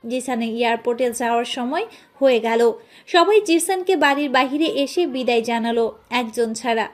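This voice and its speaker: A woman's voice: fairly high pitch, normal speed. A woman narrating a scripted romantic story as its storyteller.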